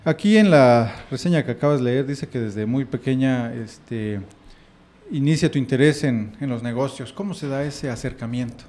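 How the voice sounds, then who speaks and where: A man, co-hosting a podcast and asking questions in a hesitant, halting way. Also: medium pitch, darkish tone. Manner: hesitant, halting phrasing with fillers. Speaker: podcast co-host. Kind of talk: casual interview questions.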